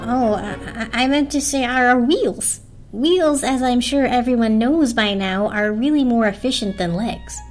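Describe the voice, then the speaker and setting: A determined little toaster played by a woman speaks high and sweetly. It reasons earnestly and diplomatically, as a storybook character over soft music. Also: a little slow, lightly acted. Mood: diplomatic. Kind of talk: dramatic storybook reading. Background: orchestral film score.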